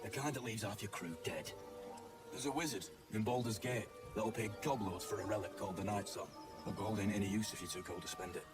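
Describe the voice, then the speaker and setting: Low-voiced, cynical British mercenary played by a man. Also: fairly quick, far from the mic, loud music behind the voice, lightly acted. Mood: bitter, sardonic. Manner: rough, sardonic, colloquial speech. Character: gruff cynical male mercenary survivor of a slaughtered crew. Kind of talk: video game dialogue acting.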